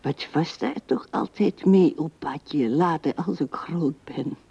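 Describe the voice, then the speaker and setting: An actress playing an old widow in a radio drama, musing tenderly to her dead husband in halting sentences that drift into murmurs. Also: low voice, creaky, warm tone, husky, no echo, exaggerated voice. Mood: puzzled reminiscing. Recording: dull 1970s radio recording.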